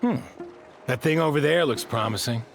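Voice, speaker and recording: A man playing a calm, courteous adventurer in a video game, composed and thoughtful. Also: on the high side, moderate pace, creaky, over music. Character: calm, courteous, observant adult male adventurer.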